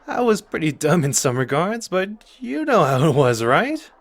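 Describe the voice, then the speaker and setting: Cocky, playful young sorcerer with lively swoops, man acting. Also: medium pitch, no echo. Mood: candid, sincere. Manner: casual, teasing, intimate and conversational. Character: cocky, playful young male sorcerer, earnest and protective underneath. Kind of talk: ASMR roleplay acting addressed to the listener.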